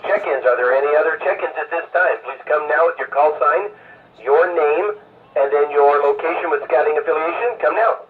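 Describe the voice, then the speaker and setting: A man's voice comes through a narrow, phone-like radio channel, sounding away from the mic. He hosts an amateur radio net informally, with hesitant, halting phrasing. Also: a little high, far from the mic. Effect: amateur radio transmission. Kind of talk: informal radio net check-ins and announcements.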